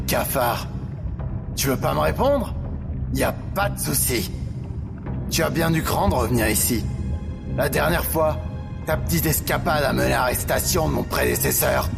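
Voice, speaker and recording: An actor playing an imperious, suspicious crime boss in an audio drama, mock-courteous and threatening. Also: male voice, medium pitch, vocal fry.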